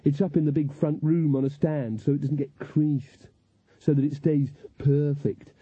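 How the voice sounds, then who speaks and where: A man's voice: a little high, flat delivery, measured pace, warm tone, slightly rough. A man voicing a resigned, bitter young woman who speaks in a guarded way, in an audiobook story. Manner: gloomy, guarded. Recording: echoey, narrow phone-like audio.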